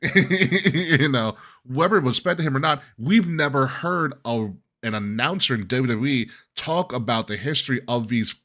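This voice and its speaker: A man co-hosting a podcast, giving opinionated, animated commentary. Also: mid-range voice. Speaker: podcast co-host. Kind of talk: casual chat.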